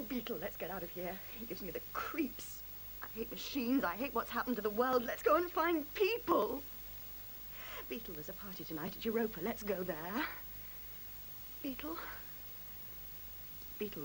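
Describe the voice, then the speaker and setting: Petulant, high-voiced young woman. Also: fairly bright tone, rough recording. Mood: urgent, pleading. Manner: petulant, scornful, pleading. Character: impatient, emotional young woman who loathes machines. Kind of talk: 1970s radio drama acting. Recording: hissy 1970s radio recording.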